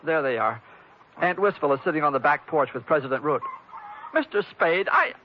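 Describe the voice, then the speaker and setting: An actor playing a timid, fretful ex-magician with pompous, wordy diction in an old radio drama. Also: male voice, fairly high pitch, animated, moderate pace, close to an everyday voice. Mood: reluctant. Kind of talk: 1940s radio acting. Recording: dull old radio recording, faint noise.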